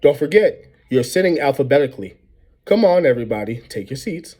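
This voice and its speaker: Man voicing a brisk, insistent woman schoolteacher. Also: medium pitch, big pitch swings, slightly rough. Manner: loud, insistent, commanding. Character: brisk, annoyed female middle school teacher herding pupils.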